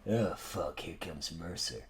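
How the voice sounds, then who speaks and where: A man voicing a gritty, protective dog leader with a gravelly, breathy voice in an improvised story. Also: deep voice, slow pace, pitched below the performer's own voice, exaggerated voice. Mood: wary. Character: gritty, intense male dog, desperate protective prison-tough leader. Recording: distant voice under loud music.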